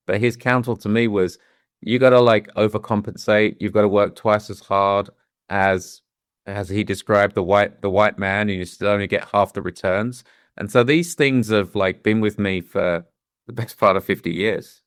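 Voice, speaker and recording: A man's voice: fairly low pitch, fairly even intonation, normal speed, smooth, no room sound, studio quality. A middle-aged man with a British accent who grew up in London, speaking as an interview guest in a candid, reflective way and often restarting his sentences. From London, England. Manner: thoughtful, with frequent restarts and "you know"s.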